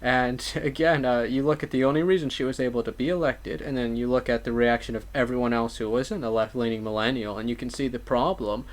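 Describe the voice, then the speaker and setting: This is a man with a medium-pitched voice offering political commentary in conversation. He is measured and somewhat wordy, qualifying his points as he goes. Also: steady tone.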